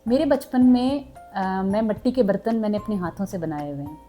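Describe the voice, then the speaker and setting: A woman's voice: mid-range voice, normal speed, warm tone, soft background music. A young woman from southern Punjab, chatting casually to her subscribers and mixing in English words. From Pakistan, southern Punjab. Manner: chatty, mixes in English words, frequent fillers. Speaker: vlogger.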